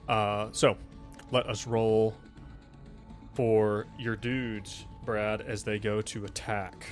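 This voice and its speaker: A man's voice: lowish voice, level tone, slow, faint music behind. A man working as game master, casually narrating a tabletop horror game and calling for rolls.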